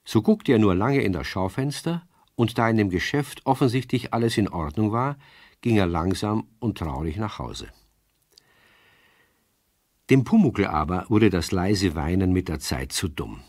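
A male storyteller narrates a children's radio play in a lowish voice. His delivery is expressive and very animated, recorded dry and close. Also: a little slow, no echo.

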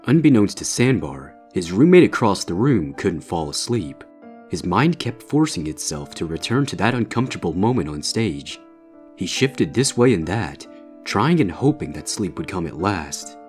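Very deep-voiced male story narrator. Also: very animated, normal speed, darkish tone, faint music behind. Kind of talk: scripted narration of a horror fan fiction story.

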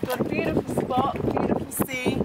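Young woman vlogging over loud background noise. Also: high-pitched, steady tone, moderate pace. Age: young adult. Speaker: sailing vlogger. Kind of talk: casual chat to camera. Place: outdoors on a sailing boat at sea. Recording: loud wind and engine noise behind.

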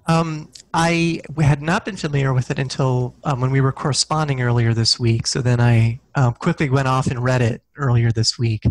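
A man's voice: mid-range voice, fairly even intonation, normal speed, hardly any pauses, no echo, poor audio. A man in his forties speaks through a headset microphone from a small office, a guest author on a video call. He thinks aloud, hesitating and restarting often. His voice sits mid-range and sounds close and dry. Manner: hesitant, many ums and restarts, gestures with hands. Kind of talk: casual video call interview. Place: small office with corkboard, indoors. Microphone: headset mic on video call.

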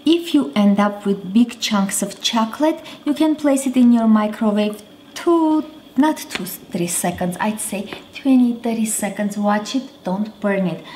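A woman's voice: mid-range voice, expressive, measured pace, a little mellow, a little hiss or hum. A woman in her thirties hosting a home cooking show, chatting casually as she cooks in her kitchen. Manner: chatty, talks while cooking. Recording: roomy kitchen with faint clatter.

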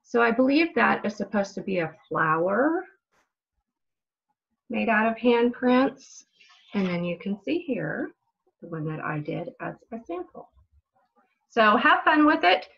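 A woman's voice: on the high side, big pitch swings, a little slow, vocal fry, long pauses. A middle-aged woman hosting a children's story time as a librarian, explaining things simply, on lo-fi home webcam audio. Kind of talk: children's story time read-aloud and craft instructions. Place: indoors in a room at home.

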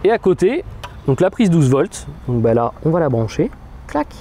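A man in his thirties talks through a product demo outdoors, chatty and explanatory, filling pauses as he goes. His voice sits fairly high but sounds mellow. Faint outdoor noise hangs behind him. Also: sing-song. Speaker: product demonstrator. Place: outdoor car lot beside a car.

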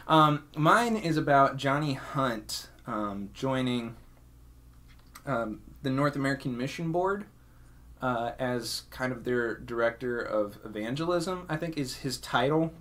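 A man's voice: medium pitch, animated, measured pace, a bit bright. Male podcast host, mid-range voice, informal and conversational. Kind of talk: casual podcast chat.

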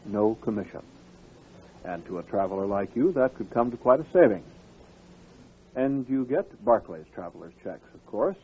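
A man's voice: mid-range voice, slightly rough. A man reading a scripted radio advertisement as a commercial announcer. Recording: tinny, narrow old radio recording.

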